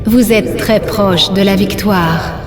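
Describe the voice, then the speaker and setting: An actress playing a dutiful, composed alien advisor in a video game dub, reporting formally. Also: female voice, mid-range voice, steady tone, slow pace, natural voice. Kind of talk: dubbed video game cinematic acting. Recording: long reverb, music behind.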